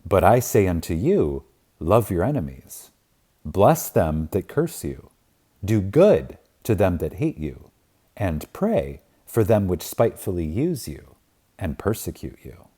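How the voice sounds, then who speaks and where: A man with a low, mellow voice reads a spiritual book aloud for an audiobook. He takes his time, leaning on words with reverent emphasis and long pauses. His intonation rises and falls widely. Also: very animated, husky. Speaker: audiobook reader. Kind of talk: reading a spiritual self-help book aloud.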